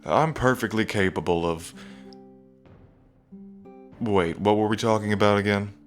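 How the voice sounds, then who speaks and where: A man's voice: on the low side, unhurried, faint music behind, close to an everyday voice. A man playing a cocky yet tender young dragon king in his twenties, speaking casually and hesitantly to the listener in an intimate ASMR roleplay. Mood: tipsy, playful. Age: 20s. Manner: casual, hesitant, trailing phrasing.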